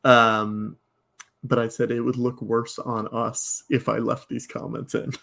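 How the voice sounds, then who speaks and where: A male podcast guest with a noticeably gravelly, creaky voice at a medium pitch. He talks in casual banter, wry and joking. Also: little pitch movement, unhurried, a bit bright.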